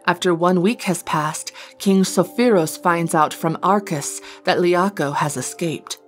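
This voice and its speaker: Woman, lowish voice, scripted fantasy recap narration, music behind. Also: unhurried. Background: background music.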